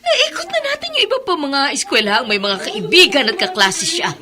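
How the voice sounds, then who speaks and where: A woman's voice: sits high, huge pitch swings, brisk. An actress playing a middle-aged, desperate mother searching for her missing child in a Tagalog radio drama, with pleading, emotional delivery. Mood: anguished.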